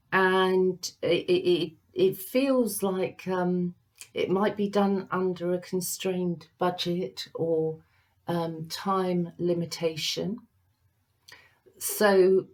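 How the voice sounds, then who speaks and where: A woman reads tarot cards for her viewers in a slow, unhurried way, with a slightly low voice. She pauses often and fills the gaps with ums. Her tone stays gentle and reassuring throughout. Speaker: psychic medium giving a tarot reading. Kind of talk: unscripted tarot reading for viewers.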